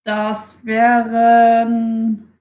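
Female tabletop player, fairly high voice, very echoey room sound, casual delivery peppered with English phrases. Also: completely flat delivery, very slow, a little mellow, lo-fi sound. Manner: mixes English phrases into her talk. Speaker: player in a tabletop role-playing game. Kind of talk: casual game-table chat, describing her character's moves.

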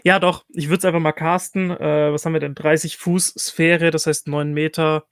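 A man with a somewhat high voice plays a tabletop role-playing game, recorded very dry. He talks casually, working out his moves aloud with hesitations and repeated words. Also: level tone, normal speed, clean tone, no room sound. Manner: hesitant, repeating and revising himself.

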